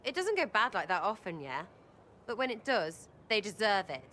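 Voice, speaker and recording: A woman's voice: medium pitch, big pitch swings, moderate pace, sharp tone, a little hiss or hum. A woman voicing a brash, foul-mouthed young rebel elf in a video game, with an English accent and a cheeky, combative, slangy delivery. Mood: gruff, satisfied.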